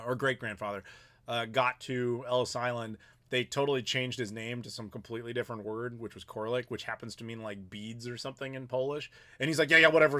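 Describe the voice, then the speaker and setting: Thirties male host, casual and rambling, reads out viewer comments in a middle-pitched voice. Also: a bit bright. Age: 30s. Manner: rambling, chatty, reads viewer messages aloud. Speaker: YouTuber host. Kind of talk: casual live Q&A chat.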